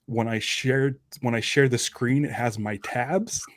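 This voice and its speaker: A man's voice: mid-range voice, measured pace, dry recording. Man with a dry, close sound. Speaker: podcast host. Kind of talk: casual chat.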